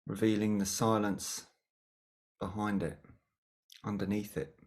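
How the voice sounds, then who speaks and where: Gentle, unhurried meditation guide. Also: low-pitched, fairly even intonation. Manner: gentle, unhurried, with long pauses. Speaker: meditation teacher.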